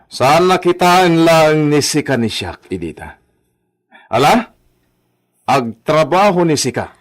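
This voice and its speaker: An actor with a Filipino accent playing a bossy, pompous young master who poses as Spanish gentry in a radio drama. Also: male voice, fairly high pitch, big pitch swings, slow pace. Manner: pompous, commanding, peppered with Spanish words.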